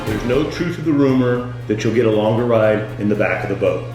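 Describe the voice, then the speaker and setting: A man delivering scripted comedic tour-guide patter as a boat skipper, with deadpan, punning jokes. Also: on the low side, fairly quick. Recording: very echoey room, music underneath.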